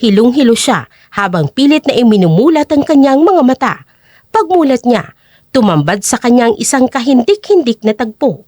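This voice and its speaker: A woman's voice: on the high side, big pitch swings, normal speed, a little mellow, no echo, clean studio sound. A woman narrating a scripted dramatic story as the narrator of an audio drama.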